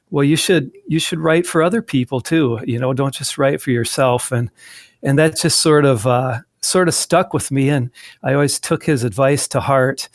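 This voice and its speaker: Middle-aged man, casual guest, mid-pitched, restarts a lot, dry close mic. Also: dry recording. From southwestern Wisconsin. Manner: rambling, frequent restarts and fillers. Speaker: guest, magazine editor. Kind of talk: casual interview conversation.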